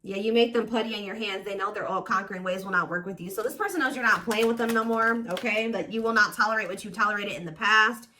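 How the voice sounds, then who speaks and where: A woman's voice: medium pitch, fast, hardly any pauses, sharp tone. A woman giving a casual, intuitive tarot reading to viewers, speaking informally and with emphatic assurance. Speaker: tarot reader, host.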